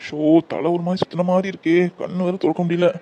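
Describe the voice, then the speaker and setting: A man's voice: sits high, level tone, quick pace, highs cut off, background noise. A man playing a hungover, heartbroken young man who mutters to himself in a groggy, self-pitying way.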